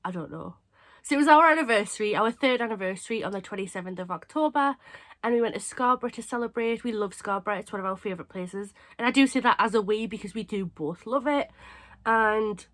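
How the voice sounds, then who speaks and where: A young woman from England with a cold, sounding hoarse and stuffy, chatting casually and rambling to camera as a craft channel host. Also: medium pitch, brisk, a bit bright. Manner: chatty, rambling, self-mocking.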